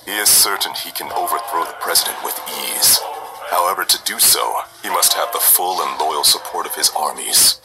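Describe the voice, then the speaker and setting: A man's voice: very deep voice, normal speed, creaky, music in the background, poor audio. A man voicing a scheming skeleton who plots a club coup in a grandiose, melodramatic comic dub. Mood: grandly scheming. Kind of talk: fan comic dub acting.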